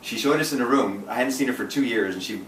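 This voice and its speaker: A man's voice: medium pitch, quick pace, fairly bright tone. A man talking in a casual group chat as a dating coach, intense and direct, with lots of restarts. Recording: echoey room from a distance, faint noise.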